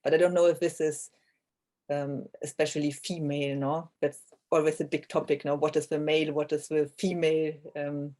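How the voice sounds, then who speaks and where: Female interviewee, native German speaker, narrow pitch range, thoughtful, tentative, checks in with tag questions. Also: little pitch movement, normal speed, dark tone. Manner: tentative, seeks agreement with tag "no?". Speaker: interviewed author. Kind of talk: reflective interview conversation.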